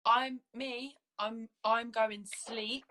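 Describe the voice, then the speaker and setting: A woman with a fairly high voice answers softly and hesitantly. She sounds distant on a phone line with clear background noise. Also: fairly even intonation, extremely slow. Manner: soft, hesitant, short replies. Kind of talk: casual live-stream chat.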